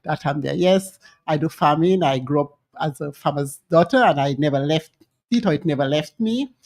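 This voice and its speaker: A Dominican woman in her sixties, a longtime nurse, talking with warmth and chatty asides as a guest on a radio interview at a studio microphone. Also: low voice, moderate pace. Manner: warm, chatty, with laughter and asides. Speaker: nurse and home health provider, guest.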